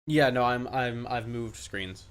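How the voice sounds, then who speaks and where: A man's voice: medium pitch, a little slow, clear, resonant tone. A man chatting casually on a live game stream.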